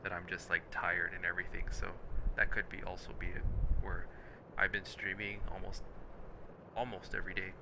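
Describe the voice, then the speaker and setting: A man with a very low, gravelly voice, hoarse from losing it, murmurs his way through drawing practice. He often slips into near-whispers and unfinished phrases. The recording carries a clear background noise. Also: little pitch movement, fairly quick. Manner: muttering to himself, frequent whispers and trailing off. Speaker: self-taught artist streaming drawing practice. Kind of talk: casual think-aloud drawing commentary. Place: home at his computer, late at night.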